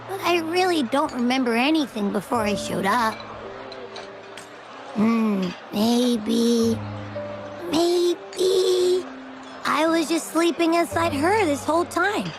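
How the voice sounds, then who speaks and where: A high, cutesy little video game creature, cheeky and whiny, with music behind. The pitch swoops widely and words get drawn out for dramatic effect, like an excitable small child. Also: female voice. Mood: relieved, chatty.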